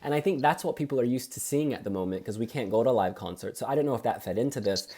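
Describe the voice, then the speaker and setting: A woman co-hosting a casual review chat, talking in an animated, chatty and opinionated way. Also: a bass voice, racing, slightly rough. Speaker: co-host.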